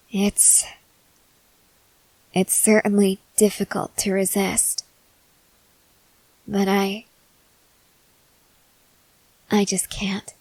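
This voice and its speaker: Casting: actress as stern young female fighter, wary and intense, unhurried delivery, very dry close sound, ASMR drama. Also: medium pitch, a little mellow, no room sound, low-quality recording. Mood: troubled, reluctant. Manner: slow, deliberate, terse, intense delivery with pauses. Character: stern young female soldier, suspicious, guarded, threatening. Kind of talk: ASMR character roleplay, dramatic acting.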